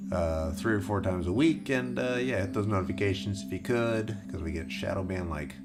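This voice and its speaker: A man's voice: sits low, moderate pace, loud music behind the voice. A man hosting a casual crypto livestream, rambling with lots of fillers and restarts while he loses his voice. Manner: reads viewer questions aloud. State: losing his voice.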